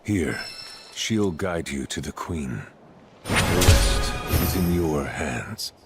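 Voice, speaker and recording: Very deep, slow, ominous card keeper. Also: male voice, animated, music in the background, full character voice. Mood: grave, cryptic. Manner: formal, ominous, measured. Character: brooding, cryptic male keeper of a powerful card. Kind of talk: video game cutscene acting.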